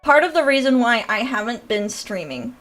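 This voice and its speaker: A woman's voice: a little high, sing-song, moderate pace, fairly bright tone, soft background music. A young woman with a nasal voice, chatting casually and rambling on a livestream, with lots of fillers and laughs. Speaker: VTuber streamer and creator coach.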